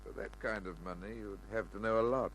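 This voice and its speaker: Man playing a low-voiced, wary crime boss. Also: fairly even intonation, brisk, a bit bright, lightly acted. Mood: guarded. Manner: guarded, probing questions. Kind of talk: old-time radio crime drama acting. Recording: humming, noisy old radio recording.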